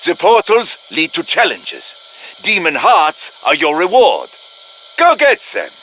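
An actor playing an urgent, commanding professor who briefs soldiers in a deliberate, dramatic manner, as video game voice lines. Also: male voice, on the high side, wildly swooping pitch, bright, forceful tone, a little hiss or hum, full character voice. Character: urgent, commanding male professor guiding soldiers against demons. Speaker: game character voice, mission guide.

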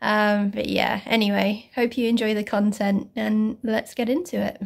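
A hoarse young woman, hosting her own channel and calmly explaining how to care for a pet. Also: mid-range voice, normal speed. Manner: steady explanatory delivery. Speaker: pet-keeping channel host. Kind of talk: informative pet-care narration. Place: home room with reptile tanks.

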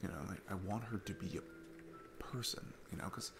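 A man's voice: low-pitched, steady tone, moderate pace, creaky, over music. A man playing a sweet, nervous single dad in an intimate ASMR roleplay, rambling and hesitant in a casual, self-deprecating way. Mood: earnest, awkward.

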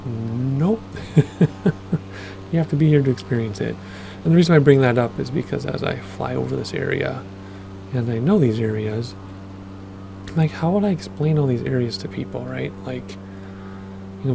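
A man, rambling casually through gameplay commentary with lots of fillers, his voice a bit hoarse from losing it. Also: mid-range voice, noisy background. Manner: rambling, chatty, with many fillers. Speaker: flight sim gaming host.

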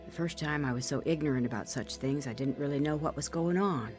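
A woman's voice: sits low, a little fast, a little mellow, faint music behind, close to an everyday voice. An actress playing a woman soberly recounting her painful youth, narrating a dramatized testimony. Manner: reflective, sober.

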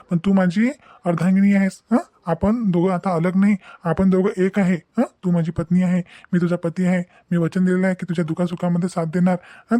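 A protective young husband, acted by a man, talking quickly in a high but mellow voice. He reasons and gently scolds like a lecturer in a cartoon melodrama, checking often with short questioning hums. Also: no echo, natural voice. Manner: insistent, lecturing, peppered with questioning "hm". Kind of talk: amateur Marathi cartoon melodrama dialogue.

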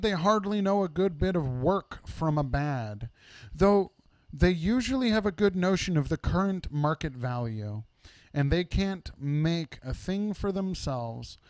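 A man's voice: fairly high pitch, no echo. A man voicing a proud, self-important dwarf leader in a storybook, with a pompous, grandiose way of telling things.

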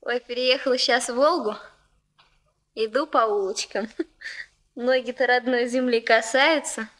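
An actress playing a wistful, refined young woman in a Soviet radio drama, soft-spoken and sentimental. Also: fairly high pitch, fairly even intonation, unhurried.

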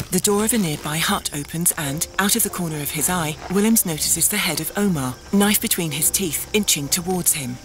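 A woman reading the stage directions of an audio drama in a measured, descriptive way. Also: lowish voice, low-quality recording. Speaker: narrator. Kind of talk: scripted narration of stage directions.

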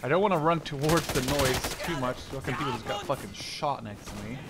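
A man in his twenties giving casual gameplay commentary, excitable and rambling, with frequent swearing. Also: mid-range voice, brisk. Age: 20s. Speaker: video game streamer. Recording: gunfire and game noise behind voice. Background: video game gunfire.

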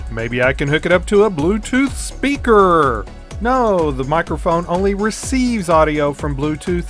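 A middle-aged man delivers a spoof sales pitch in announcer style. His voice sits a little high and moves around a lot, with music behind it. Every selling point gets exaggerated emphasis. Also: fairly bright tone. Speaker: host of a parody product video. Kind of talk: parody infomercial announcer narration.

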